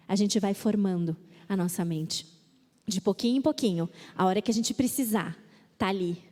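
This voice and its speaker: Brazilian woman, conference speaker; high voice, animated delivery, church-hall reverb. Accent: Brazilian Portuguese. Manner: lively, reads verses with emphasis, mimics excuses playfully. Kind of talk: women's conference talk on scripture. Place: church auditorium.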